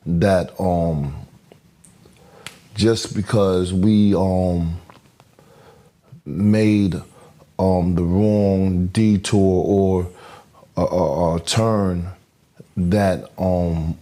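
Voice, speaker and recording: A man hosting a podcast interview, halting and earnest, with many fillers and restarts. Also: low-pitched, steady tone, mellow. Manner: halting, many fillers and restarts, says "you know what I'm saying"; devout, earnest. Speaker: podcast host. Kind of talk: interview conversation.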